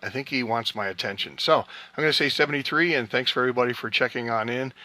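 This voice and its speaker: A man's voice: mid-range voice, fast talker, bright, forceful tone, no room sound. A man hosting a casual amateur radio session, chatting with operators and signing them off with call signs. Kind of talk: casual radio net chat, signing contacts off.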